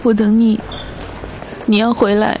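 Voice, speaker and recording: A woman's voice: fairly high pitch, level tone, a little slow, a little mellow, a little hiss or hum, natural voice. An actress in a radio drama playing a devoted young girlfriend, speaking in a tender, pleading way. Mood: wistful, insistent. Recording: music behind the voice. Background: background music.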